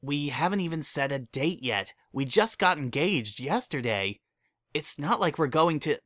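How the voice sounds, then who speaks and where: Casting: man playing relaxed, affectionate noble girl pony for storybook, measured delivery, dry close sound. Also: a little high, no room sound, pitched above the performer's own voice. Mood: flustered. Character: easygoing, romantic young female noble pony. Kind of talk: dramatic reading of fan fiction.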